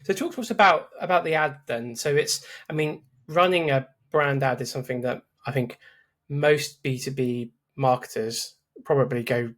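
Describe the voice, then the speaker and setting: A man's voice: medium pitch, normal speed, fairly bright tone. A man hosting a podcast, talking casually and restarting his sentences often. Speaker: podcast host. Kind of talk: casual interview chat.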